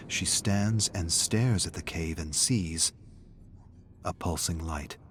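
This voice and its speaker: A man narrating a scripted story in a brooding, measured, dramatic way, with phrases that trail off hushed. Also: low-pitched, a little hiss or hum. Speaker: lore narrator.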